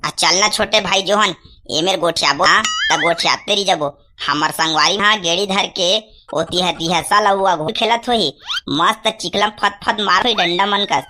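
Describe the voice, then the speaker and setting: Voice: mid-range voice, normal speed, close to an everyday voice. Adult playing a cheeky Chhattisgarhi village boy, noisy audio. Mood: pleading. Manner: impatient, argumentative. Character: cheeky, eager village boy, elder brother. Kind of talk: cartoon comedy acting.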